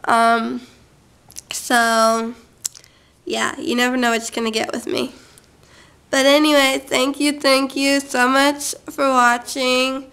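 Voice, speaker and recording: A woman's voice: high voice, level tone, slow, long pauses, a bit bright, clear, resonant tone. A young woman vlogging to her camera in a rambling, casual monologue, going off on tangents with lots of fillers. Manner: chatty, goes off on tangents, many fillers. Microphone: camera mic.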